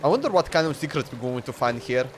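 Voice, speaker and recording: A man's voice: mid-range voice, very animated, fast talker. A man doing casual, slangy live commentary as he streams a video game. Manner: slangy, informal. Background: game music.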